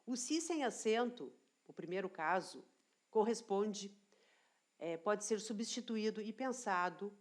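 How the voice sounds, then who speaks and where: Slow, deliberate woman teacher. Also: medium pitch, expressive. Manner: slow, deliberate explaining, repeats key phrases, checks in with "tá?". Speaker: language teacher. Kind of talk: online grammar lesson.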